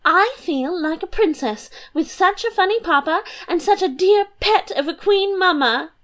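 A woman voicing a giddy, whimsical young princess who talks in high, excited bursts. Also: moderate pace.

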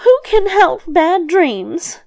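Casting: female reader as elderly fairy-tale grandmother, high voice, sly, scolding yet soothing, drawn-out delivery. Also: very animated, vocal fry, a little mellow, exaggerated voice. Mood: plaintive coaxing. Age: older.

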